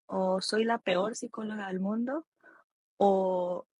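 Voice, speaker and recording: A Costa Rican woman speaks with a husky voice, close and dry in the recording. She is an expert guest, explaining things step by step in a reflective, conversational way. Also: mid-range voice, fairly even intonation, takes it slowly, a little mellow, dry recording. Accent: Costa Rican Spanish. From Costa Rica. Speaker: expert guest, psychologist and author.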